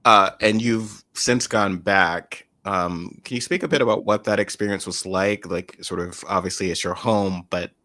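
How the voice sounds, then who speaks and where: A man's voice: deep voice, moderate pace, hardly any pauses, sharp tone, no echo. A man with an American accent hosting an interview, asking questions in a tentative, roundabout, conversational way. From United States. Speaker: interview host.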